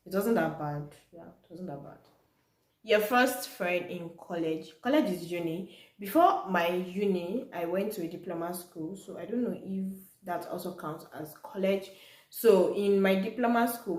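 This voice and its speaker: Low-voiced Nigerian woman in an echoey room. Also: normal speed, a little mellow. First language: Yoruba. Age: young adult. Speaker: vlogger. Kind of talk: casual personal storytelling to viewers.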